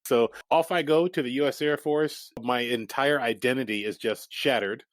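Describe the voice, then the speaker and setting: A man's voice: mid-range voice, moderate pace, dry recording. Man telling his life story candidly. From raised in Germany, Japan and Iowa. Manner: reflective, laughs easily, animated quoted asides. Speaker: startup founder guest.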